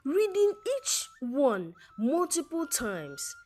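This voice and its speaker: A woman narrating a scripted folktale in a deliberate, dramatic storytelling manner. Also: sits high, sing-song, darkish tone. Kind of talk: scripted folktale narration, reading character names before their lines.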